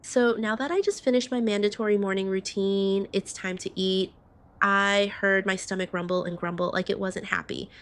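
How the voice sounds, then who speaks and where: A woman's voice: mid-range voice, fairly even intonation, normal speed, fairly bright tone, clean tone. A woman vlogger narrating a roleplay morning-routine video, chatting directly to her viewers. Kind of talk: voiced roleplay vlog narration.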